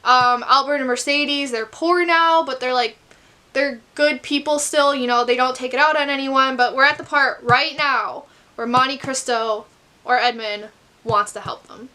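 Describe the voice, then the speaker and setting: A young woman chats into her camera at home about the novel she is reading. Her voice sits high and sounds bright and clear. She talks candidly, swears in passing, and keeps jumping into quick side comments. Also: fairly even intonation. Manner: chatty, candid, swears casually, quick asides. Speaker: book vlogger. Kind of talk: casual vlog chat to camera. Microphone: camera mic.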